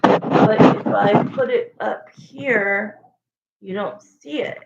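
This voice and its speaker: A woman with a raspy voice doing a casual livestream tarot reading, chatting and thinking aloud between viewer questions. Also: medium pitch, slow, slight background noise. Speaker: tarot reader. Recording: echoey, dull lo-fi room sound.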